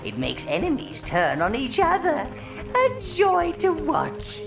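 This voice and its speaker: Sly old minion, wildly swooping pitch. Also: male voice, wildly swooping pitch, slow pace, creaky, full character voice. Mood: sly, gleeful servility. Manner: obsequious, sly, relishing mischief. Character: old male minion advisor, servile, gleefully wicked. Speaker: advisor and guide to the player. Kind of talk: theatrical fantasy game voice acting. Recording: game music behind the voice.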